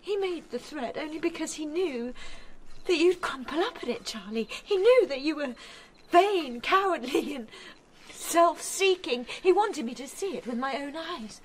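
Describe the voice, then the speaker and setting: A woman's voice: high-pitched, lively intonation, a little slow, slight background noise. An actress playing a desperate, wounded married woman in a radio drama, speaking with emotional intensity to her lover. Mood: bitter, accusing.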